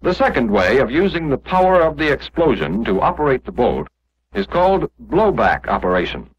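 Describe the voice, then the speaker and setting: An American man narrating a scripted 1940s instructional film in a measured, explanatory manner, on an old film soundtrack. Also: mid-range voice, sing-song, slightly rough, dull audio.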